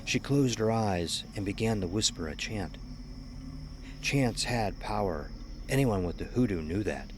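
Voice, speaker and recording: A man narrating a scripted horror story in a measured, ominous, dramatic storytelling manner. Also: on the low side, lively intonation, background noise. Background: music under the voice.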